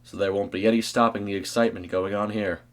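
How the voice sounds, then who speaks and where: Man acting as low-voiced late-night radio host. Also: steady tone, fast talker, close to an everyday voice. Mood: smooth, welcoming. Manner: welcoming, polished presenter address. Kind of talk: radio announcer patter.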